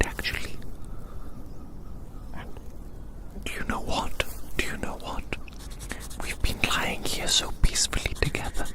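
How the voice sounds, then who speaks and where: A man whispering in a gentle, soothing, breathy and unhurried ASMR roleplay, softly repeating his phrases. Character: gentle giant human befriending a little creature. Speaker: ASMR performer. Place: outdoors in the grass.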